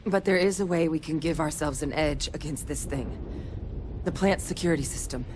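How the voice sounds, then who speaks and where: An actress in a video game playing a tough, terse female field operative who gives tactical orders in a businesslike, measured way. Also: low-pitched, noisy background, lightly acted.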